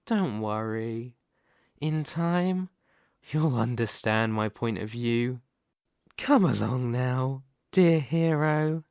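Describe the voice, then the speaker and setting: A man's voice: mid-range voice, darkish tone, dry recording, clean studio sound. A man playing a reborn demon king in a scripted ASMR roleplay, smugly menacing, possessive and seductive, with a theatrical, condescending delivery. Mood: softly possessive. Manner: theatrical, deliberate, condescending, grandiose.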